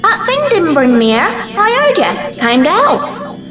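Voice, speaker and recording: A woman playing a plucky, plain-spoken young woman's lingering soul in an audio drama, informal and gentle. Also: very high voice, wildly swooping pitch, a little slow, exaggerated voice. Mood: reassuring. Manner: informal, dropped words, gentle. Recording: echoey, music behind.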